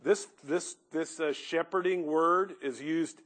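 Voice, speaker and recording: A man preaching a sermon, loud and earnest, reading hymn verses with rising force. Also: fairly high pitch, takes it slowly.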